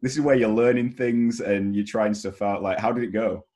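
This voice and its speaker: Young man, lowish voice. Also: steady tone, moderate pace, dark tone. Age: young adult. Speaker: interviewer. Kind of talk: casual interview chat. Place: bedroom. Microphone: headset on video call.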